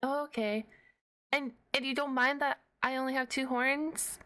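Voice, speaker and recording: A woman's voice: sits high, steady tone, moderate pace, pushed and bright. A woman voicing a shy, nerdy, flustered half-dragon librarian in an ASMR roleplay, hesitant and rambling with awkward humour. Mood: flustered, bashful.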